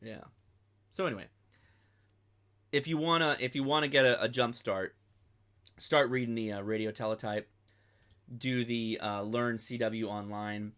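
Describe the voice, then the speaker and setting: Casual male host, thirties, crisp bright tone, informal off-the-cuff tutorial delivery that rambles. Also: mid-range voice. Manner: rambling, informal, thinking aloud. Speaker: host of a ham radio channel.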